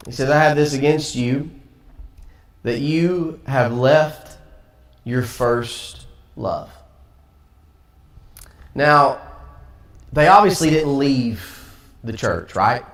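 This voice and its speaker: A man's voice: medium pitch, expressive, extremely slow, pauses often. A man with a Southern American accent teaching a Sunday Bible class in a conversational way, acting out quotes as he goes. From Tennessee. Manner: conversational, acts out quotes, light laughs. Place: church auditorium. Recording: echoey room, from far off.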